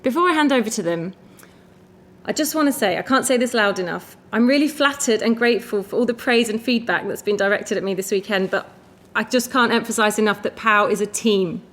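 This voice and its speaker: A woman opens the evening as its host, speaking in an effusive, grateful tone. Her pitch sits in the middle range for a woman. She names and thanks people in a steady run of warm introductions. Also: a little fast. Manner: effusive, thanking team members one by one. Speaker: festival organizer and host. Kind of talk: event introduction speech.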